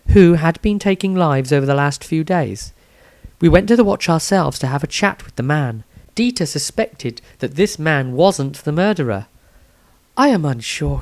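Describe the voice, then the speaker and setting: A man's voice: on the high side, darkish tone, dry recording, pitched below the performer's own voice. A composed, observant woman voiced by a man reads from her diary in a fantasy story. The phrasing is formal and careful, and the sound is dry. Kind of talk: in-character fantasy audiobook diary.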